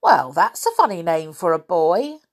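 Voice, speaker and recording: A woman's voice: lowish voice, sing-song, measured pace. A woman voicing a very deaf old man covered in pots and pans, who mishears everything, in a storybook reading. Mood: cheerful.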